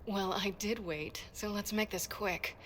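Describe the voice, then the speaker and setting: An actress playing an impatient, brisk, businesslike contact in a video game cutscene. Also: female voice, medium pitch, fairly even intonation, fairly bright tone, a little hiss or hum, lightly acted.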